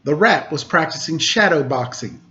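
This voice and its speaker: A man hosting a storytime, reading a children's tale aloud with measured, pausing phrasing. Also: on the high side, animated, pushed and bright. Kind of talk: read-aloud storytelling with casual introduction. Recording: dull lo-fi recording.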